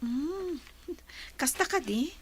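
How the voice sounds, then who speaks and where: High-voiced, unhurried Ilocano village wife. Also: female voice, animated, full character voice. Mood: hesitant, reserved. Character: Selina, reserved, gentle married village woman. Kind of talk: Ilocano radio drama acting.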